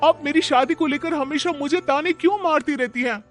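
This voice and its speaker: A man voicing a polite, self-respecting woman of nearly thirty in an animated moral story, speaking earnestly. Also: very high voice, a little fast, soft background music, pitched above the performer's own voice. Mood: protesting.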